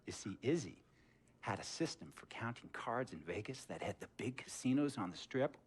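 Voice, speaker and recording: Slick rich sports boss, preachy game cutscene monologue, theatrical, goes hushed then emphatic. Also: male voice, mid-range voice, animated, moderate pace, slightly rough. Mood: reflective. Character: eccentric wealthy sports boss, preachy, slick, controlling man.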